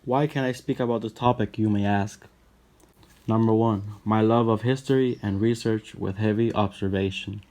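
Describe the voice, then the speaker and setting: A man's voice: fairly low pitch, normal speed. Puerto Rican man narrating an explainer. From Puerto Rico. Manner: list-like, steady delivery. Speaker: channel host.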